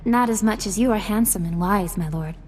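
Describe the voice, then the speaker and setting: A woman's voice: medium pitch, a little fast, a little mellow, a little hiss or hum, close to an everyday voice. Earnest, respectful female follower played by an actress. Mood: assured. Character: earnest, deferential, sharp-witted young cultist follower.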